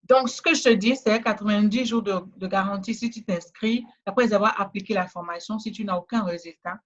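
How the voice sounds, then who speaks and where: Woman giving a persuasive webinar pitch. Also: brisk, dull audio. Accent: West African French. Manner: persuasive, repetitive, addresses listener directly. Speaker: trainer selling a course.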